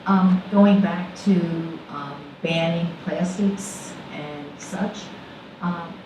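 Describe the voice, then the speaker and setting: A woman resident at a public meeting asking questions, hesitant with pauses and ums. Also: on the low side, noisy background. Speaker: resident commenting. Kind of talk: public comment. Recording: echoey room, distant mic.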